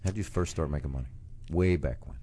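A man's voice: rumbling low, fast talker, mellow. A man interviewing a business owner, asking direct, probing questions.

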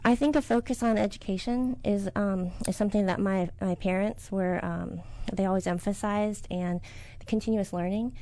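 A middle-aged woman from the United States, a business owner answering interview questions in a soft, hesitant way with many fillers. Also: medium pitch, fairly even intonation, moderate pace, few pauses.

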